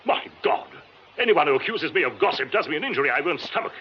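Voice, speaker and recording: An actor playing a pompous, touchy stage star in a British radio drama, grandiloquent and emphatic. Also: male voice, a little high, expressive, fast. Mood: indignant. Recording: muffled radio drama recording, clear background hiss.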